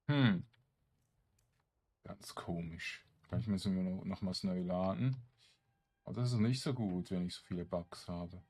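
A man's voice: low-pitched, darkish tone, husky. A man giving casual let's play commentary, hesitantly thinking aloud as he plays. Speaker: gamer, let's play host.

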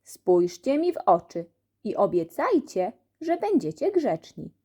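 A stern schoolmaster from a children's storybook, acted out by a woman. He gives orders deliberately and commandingly, with slow, emphatic, swinging intonation. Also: medium pitch, big pitch swings, warm tone, husky, heavily acted. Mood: stern, instructing. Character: stern, moustached male school supervisor giving orders.

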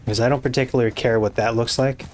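Hoarse, raspy young man gaming. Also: medium pitch, fairly even intonation, fast, a little hiss or hum. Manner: muttering to himself while building, frustrated swearing. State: hoarse, losing his voice. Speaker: gaming YouTuber. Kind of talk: casual let's-play commentary.

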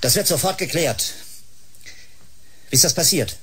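An actor playing a suspicious, authoritative boss in a German radio drama, brusque and accusing. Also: male voice, a little high, lively intonation, unhurried, bright, forceful tone, slightly rough, full character voice. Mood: uneasy, irritated. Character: tense, suspicious male boss, irritable and authoritative.

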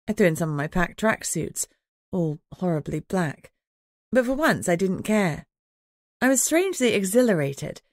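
A woman's voice: on the low side, a little mellow, no echo, studio quality. A woman reading first-person fiction as an audiobook narrator in a scripted storytelling style.

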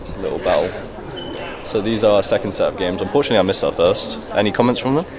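A man's voice: deep voice, animated, quick pace, over a noisy crowd. A young man giving playful, casual live badminton commentary with banter. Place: large indoor sports hall. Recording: loud sports hall noise.

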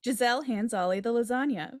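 A woman's voice: fairly high pitch, quick pace, no echo. A woman reading stage directions as scripted narration for a table read on a video call. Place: home room on a video call.

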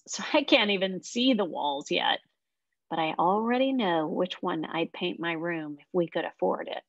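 A woman's voice: medium pitch, gravelly, no room sound. Young woman reading a children's novel aloud. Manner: expressive reading in a young girl's first-person voice. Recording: dry, slightly muffled lo-fi sound.

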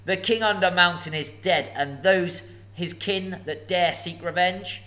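A man voicing a huge, boastful old male dragon, slow and emphatic as he gloats and threatens. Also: high voice, sharp tone, voice pushed up. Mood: gloating.

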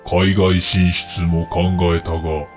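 Pompous wish-granting dragon, played by a male actor, low and unhurried, world-weary, comedic audio drama with music behind. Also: level tone. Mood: grave, weary. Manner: slow, pompous, formal pronouncements.

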